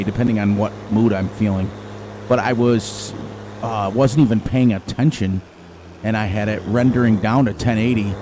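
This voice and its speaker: A middle-aged man with a loud voice, rambling and thinking aloud as he comments casually on his gameplay into a desk microphone. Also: fairly low pitch. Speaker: gaming channel host. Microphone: desk microphone turned down low. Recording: game engine noise behind.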